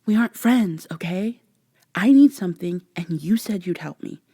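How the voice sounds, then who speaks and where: A woman playing a guarded, sardonic human woman in a vampire ASMR roleplay, speaking close and breathy, with sarcastic, wary delivery that slips into whispers. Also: on the low side, animated, moderate pace, dark tone, dry recording. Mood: defiant, cold.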